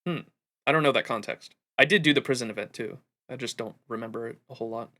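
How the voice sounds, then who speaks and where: A man hosting a livestream, giving casual, rambling, animated gaming commentary with plenty of swearing. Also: mid-range voice, fast talker. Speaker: livestream host.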